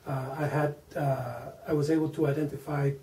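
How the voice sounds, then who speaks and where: A man in his forties from Venezuela with a Venezuelan Spanish accent, a native Spanish speaker, answering interview questions slowly, with many fillers and repeated words. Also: a little high, steady tone, dark tone. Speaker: interview guest, engineer. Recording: echoey room, mic at a distance.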